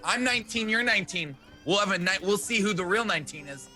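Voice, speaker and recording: A man's voice: high voice, lively intonation, fast, pushed and bright. A man playing a tabletop game in character, talking in a jumpy, nervous, rambling way. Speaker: player. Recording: background music clearly heard.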